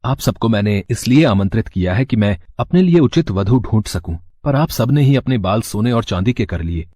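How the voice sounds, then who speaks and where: A man's voice: on the low side, lively intonation, moderate pace, mellow, dry recording, studio quality. A man voicing a lovestruck, determined young prince in a fairy tale, formal and resolute.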